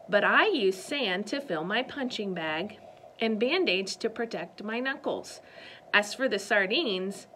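A brash, muscle-proud neighbor woman, put on by a female narrator, barking her words with a forceful, commanding edge. Her pitch swings widely as she bristles and demands. It is a storybook performance read close and plain. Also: a little hiss or hum, natural voice, low-quality recording. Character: gruff bossy muscle-bound woman neighbor.

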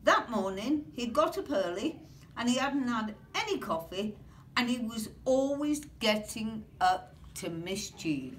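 British older woman, very animated storybook reading. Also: fairly high pitch, a little slow. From Britain. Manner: expressive, rhythmic sing-song delivery. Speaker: grandmother reading aloud to children. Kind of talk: storybook read-aloud. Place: small carpeted classroom or office, indoors. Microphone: camera or phone a few metres away. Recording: distant mic, room noise.

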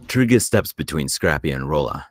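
Male narrator for script directions, extremely low voice, close dry recording. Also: lively intonation, normal speed, no room sound. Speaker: screenplay narrator at a table read.

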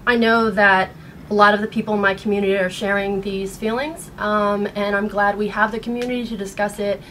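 An American woman in her thirties speaking in an earnest, halting way, upset and shaken, as in a personal vlog. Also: medium pitch, little pitch movement, fairly bright tone, poor audio. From United States. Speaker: vlogger. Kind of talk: personal video blog, partly reading aloud. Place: small bedroom at home. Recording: noticeable background room noise.

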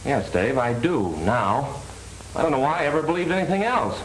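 An actor playing a loyal but doubting American friend, plain-spoken, in 1930s film style. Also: male voice, medium pitch, very animated, moderate pace, natural voice. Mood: sympathetic, reluctant. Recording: echoey, hissy 1930s film soundtrack.

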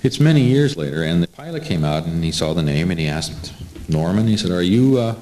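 A man's voice: low-pitched, expressive, fairly quick, darkish tone. A middle-aged American man on a panel, telling an anecdote in an informal way. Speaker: panel interviewer. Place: indoor room, seated at a panel table. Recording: faintly noisy amateur camcorder recording.

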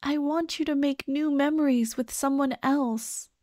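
Woman acting a slow, high-voiced, tender ghost girlfriend. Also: steady tone, darkish tone, no room sound, studio quality. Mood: sad, gentle. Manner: slow, tender, sighing, pleading. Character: gentle, grieving ghost of a dead girlfriend, comforting, pleading. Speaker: ASMR roleplay performer. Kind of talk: soft ASMR roleplay acting.

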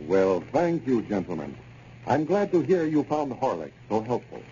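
A male American announcer from old-time radio. He delivers scripted sponsor copy in a very bright, forceful tone on a dull, slightly noisy recording. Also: mid-range voice, very animated, moderate pace. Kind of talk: 1930s-40s sponsor announcing.

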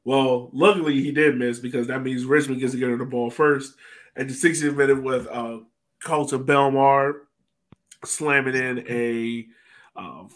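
A man's voice: medium pitch, steady tone, unhurried. A man hosting a casual sports chat over a video call, conversational and now and then searching for words. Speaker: podcast host. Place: indoor room, remote video call. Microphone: video call microphone.

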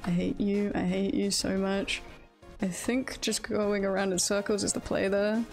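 A woman's voice: medium pitch, little pitch movement, normal speed, gravelly, darkish tone. A woman livestreamer giving casual, jokey gameplay commentary, repeating short phrases and swearing now and then. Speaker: livestreamer, VTuber. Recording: game music behind the voice. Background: video game music.

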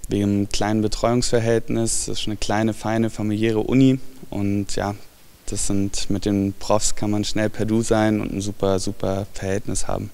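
A young man from Germany with a low, gravelly voice that stays fairly flat. He sounds smooth and clear, speaking informally into a handheld microphone in a large bright hall. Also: moderate pace. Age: 20s. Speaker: graduate. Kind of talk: news interview. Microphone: handheld microphone held near the speaker.

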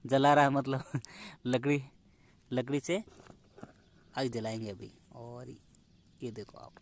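A man's voice: mid-range voice, steady tone, slow pace, slight background noise. A young man chatting to viewers in a casual outdoor vlog, laughing often, recorded on a phone in dry scrubland. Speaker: vlogger.

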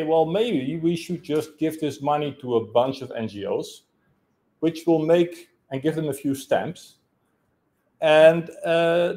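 Loud man asking a question from the floor. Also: fairly high pitch. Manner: loud, hesitant, gesturing as he talks. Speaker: audience member asking a question. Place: conference room, indoors. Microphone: room camera, no handheld mic.

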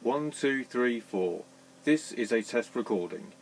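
A man reads a scripted test announcement in a measured, deliberate way, as a demonstrator of vintage equipment. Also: mid-range voice, expressive, a little hiss or hum, rough recording.

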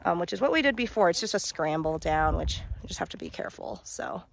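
A woman's voice: lowish voice, lively intonation, quick pace, gravelly, slight background noise. A young woman vlogging while hiking, talking into a phone at arm's length in a rambling, casual way, worn out from the day. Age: young adult. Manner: chatty, rambling with many likes and ums. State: tired and worn out. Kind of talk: casual travel vlog chat. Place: outdoors on a mountain ridge. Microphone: phone held at arm's length.

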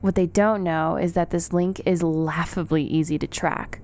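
A woman's voice: lowish voice, steady tone, moderate pace, a little mellow, lightly acted. An actress playing a wary, defiant young rebel woman, acting and narrating in a cyberpunk radio drama. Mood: dry, guarded. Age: young adult.

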